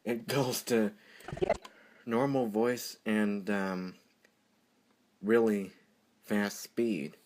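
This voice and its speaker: Rambling, slow-paced software tutorial presenter. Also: mid-range voice, steady tone. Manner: rambling, giggly, easily amused. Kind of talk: unscripted screen-recorded software tutorial. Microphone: computer microphone during screen recording.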